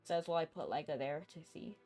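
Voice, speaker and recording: A rambling, chatty woman streamer talks casually to her viewers while she plays. Her voice sits a little low for a woman. Faint game music plays behind her. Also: quick pace, a little mellow. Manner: chatty, rambling, thinking aloud and chuckling. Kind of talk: casual live-stream chat while gaming.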